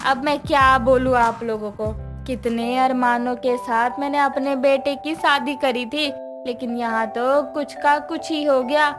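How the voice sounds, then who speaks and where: A woman's voice: sits high, fairly even intonation, unhurried. A woman playing a forthright, middle-aged mother-in-law in a story cartoon. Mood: concerned. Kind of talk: Hindi moral-story cartoon dialogue. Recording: background music under voice.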